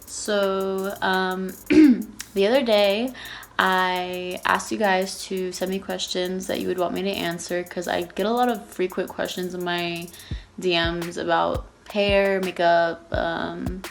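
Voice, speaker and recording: A woman's voice: fairly low pitch, fairly even intonation, slow pace, creaky, soft background music. Chatty nineteen-year-old woman from Texas. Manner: chatty, rambling, many fillers and restarts. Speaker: YouTuber. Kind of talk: casual Q&A vlog.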